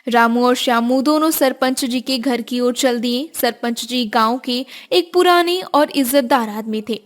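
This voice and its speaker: High-pitched woman narrating a story. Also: unhurried. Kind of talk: scripted storybook narration for a cartoon.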